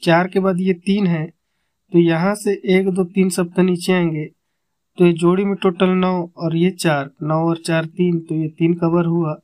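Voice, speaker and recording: A man with a fairly high voice explains number-chart calculations in a flat, level tone. He counts aloud step by step and repeats each sum as he goes. He sounds close to the mic. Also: unhurried. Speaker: number-game tipster.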